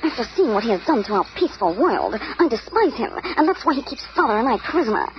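An actress playing an earnest, fearful captive alien princess, melodramatically, in a 1970s superhero radio drama. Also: female voice, a little high, big pitch swings, fast talker. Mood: anxious warning. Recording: muffled, noisy old radio recording.

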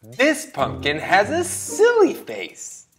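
A man's voice: sits high, wildly swooping pitch, takes it slowly, a bit bright. An American man in his thirties hosting a children's read-aloud show, bantering comically in an exaggerated, silly way. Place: small bright indoor studio set.

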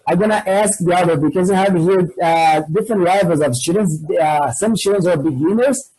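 Husky high-voiced Brazilian teacher. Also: male voice, a little fast, darkish tone, rough recording. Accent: Brazilian Portuguese. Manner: encouraging, switches to prompting students. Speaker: English teacher and host. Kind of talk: hosting an online class. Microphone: video call.

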